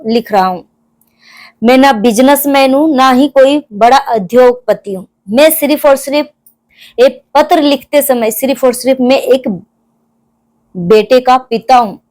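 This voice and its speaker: A woman giving emotional, earnest commentary to viewers, repeating phrases for emphasis. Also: high voice. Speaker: commentator on a crime case.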